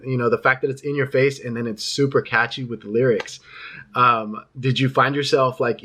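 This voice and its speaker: A man's voice: mid-range voice, sharp tone. A man hosting an interview over video call, asking long, enthusiastic questions with fillers and restarts. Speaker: interviewer host.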